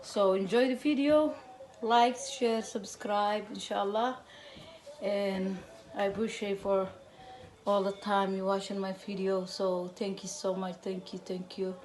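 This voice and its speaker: A woman's voice: medium pitch, steady tone, a little mellow, a little hiss or hum. Somali-accented woman hosting a home cooking video. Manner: chatty, switching between halting English and Somali. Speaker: cooking channel host. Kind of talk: casual cooking demonstration.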